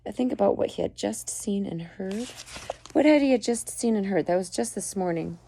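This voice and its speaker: A woman's voice: mid-range voice, animated, moderate pace, mellow, slight background noise. A mother reading a book aloud to her children at home, stopping often to comment and explain. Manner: chatty, stops to comment and explain to listeners.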